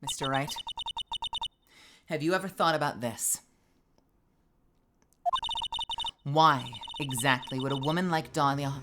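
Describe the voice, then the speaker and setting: A woman voicing a composed young female defense attorney who presses a witness with measured, cutting questions. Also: sits low, lightly acted. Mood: stern. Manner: measured, cutting cross-examination. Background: background music.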